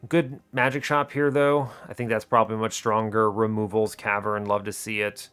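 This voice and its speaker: A man's voice: lowish voice, flat delivery, normal speed, fairly bright tone, clear, resonant tone. A man giving casual gameplay commentary, chatty and informal, thinking aloud as he explains his strategy. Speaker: host of a gaming video series.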